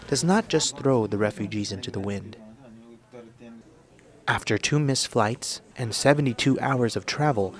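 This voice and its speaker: A man reading scripted documentary narration in a measured, earnest way. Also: lowish voice.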